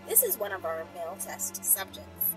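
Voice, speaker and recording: A woman's voice: mid-range voice, very animated, moderate pace, music in the background, pitched below the performer's own voice, natural voice. A woman reading the part of a government scientist who formally announces research findings in a storytime tale. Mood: formal, matter-of-fact.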